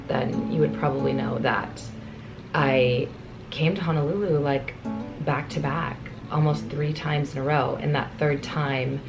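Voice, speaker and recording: A young woman talks candidly to her camera in a loose, rambling way, her voice low and gravelly with plenty of fry. She is slightly hoarse from a cold, and there is a faint hiss of background noise. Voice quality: low and raspy. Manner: candid, rambling, soft-spoken. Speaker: vlogger. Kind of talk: casual talk to camera. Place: hotel room with rain and traffic outside.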